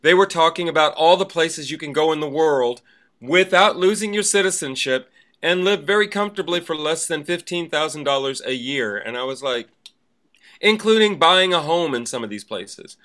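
A man's voice: on the high side, moderate pace, fairly bright tone. A man chatting casually as a podcast co-host. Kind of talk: casual chat.

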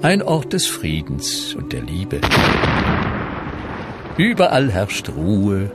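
A grand narrator for a comic radio serial. His voice is low and unhurried, swelling with pompous drama over prominent music. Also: wildly swooping pitch, full character voice. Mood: mock solemn. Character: grand, mock-solemn male storyteller of a cosmic serial.

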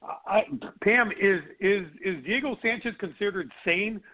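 A man giving fight picks in casual chat, rambling and excitable with stammered restarts, his voice going hoarse. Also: sits high, moderate pace. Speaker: regular panelist. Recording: tinny phone-line audio.

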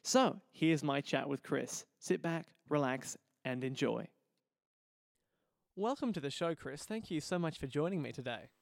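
A man's voice: fairly high pitch, lively intonation, a little slow, creaky, dry recording, clean studio sound. An Australian man presenting a show as its host, with scripted introductions and interview questions. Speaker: podcast host.

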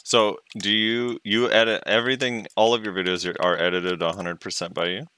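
Deep, bright-toned man asking questions. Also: steady tone, a little fast, smooth. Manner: teasing, curious, asks many questions. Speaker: podcast co-host. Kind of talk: casual podcast interview.

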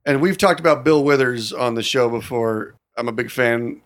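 A man co-hosting a casual podcast chat, enthusiastic about old films, slipping into quick put-on voices. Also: mid-range voice. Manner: enthusiastic, quotes movie lines in little put-on voices. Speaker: podcast co-host.

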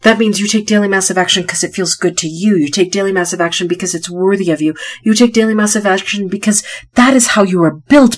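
Tired, stuffy-sounding woman podcaster. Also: medium pitch. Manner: emphatic, repeats key phrases for emphasis. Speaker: podcast host. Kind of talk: motivational solo podcast talk.

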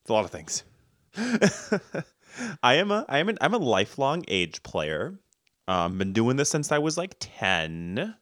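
A man co-hosting a casual podcast chat, laid-back and quick to laugh. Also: medium pitch, wildly swooping pitch, a bit bright, dry recording, clean studio sound. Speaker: podcast co-host.

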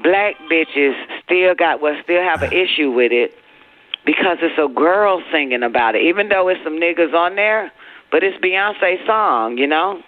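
A man's voice: on the high side, animated, unhurried, dry recording. A man hosting a radio call-in show, bantering with callers in a combative, slangy, profane way. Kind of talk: live phone-in banter. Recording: narrow-band radio phone-in audio.

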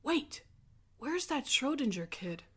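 Woman as a high-voiced deadpan schoolgirl. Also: slow pace, breathy edge, heavily acted. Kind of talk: comic radio play acting.

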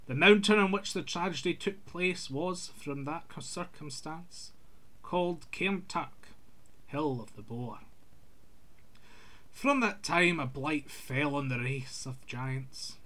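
A man's voice: a little high, fairly bright tone. A man reading a scripted folk tale aloud in an old-fashioned literary storytelling manner.

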